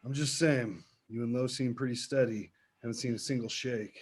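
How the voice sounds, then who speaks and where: A man's voice: mid-range voice, steady tone, moderate pace, close to an everyday voice. An actor playing a wary, accusing man at a script table read, understated and pointed, simmering underneath. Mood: suspicious. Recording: background room noise.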